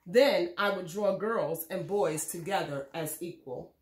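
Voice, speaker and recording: A woman reading a children's storybook aloud in a measured, expressive way. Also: fairly low pitch, reverberant. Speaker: read-aloud host reading a children's book. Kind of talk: scripted storybook narration for children.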